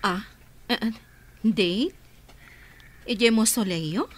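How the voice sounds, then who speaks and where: A woman's voice: mid-range voice, drawn-out words, dry recording. A woman playing a teasing, hesitant sweetheart. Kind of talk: radio drama acting.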